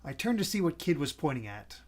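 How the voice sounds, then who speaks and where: A man's voice: on the high side, sing-song, brisk. A man reading visual novel narration aloud like a storyteller, occasionally stumbling over the text. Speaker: gaming video co-host and narrator.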